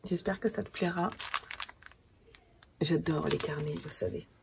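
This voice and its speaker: A woman's voice: deep voice, steady tone, a little slow, creaky, heavy background noise. A woman in her thirties with a French accent, chatting casually to camera at home, a little stuffy from a cold and full of fillers and restarts. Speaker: podcaster and yarn dyer.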